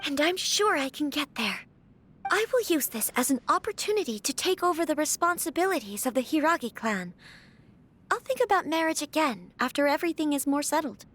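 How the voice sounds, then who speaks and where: A woman's voice: high voice, expressive, fairly quick, a bit bright. An actress playing a polite young noblewoman and clan heiress in a video game, earnest and formal in speech. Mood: resolved. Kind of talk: scripted video game character dialogue.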